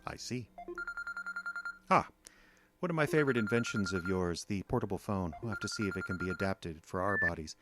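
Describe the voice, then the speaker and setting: An actor playing a smug, menacing alien invader in an audio drama, gloating in a measured way. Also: male voice, low voice, lightly acted. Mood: smug menace. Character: smug male alien invader plotting to take over the planet. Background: loud music.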